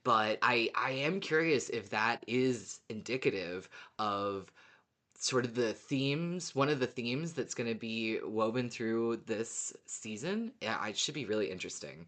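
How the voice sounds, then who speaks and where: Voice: mid-range voice, animated, measured pace, fairly bright tone. A podcast co-host in casual fan discussion, agreeable and appreciative, often chiming in.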